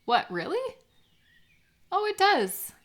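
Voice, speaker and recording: A woman playing a warm, teasing best friend in a lighthearted, intimate audio roleplay, chatting with gentle encouragement and small hesitant pauses. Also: very high voice, wildly swooping pitch, full character voice. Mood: curious, delighted.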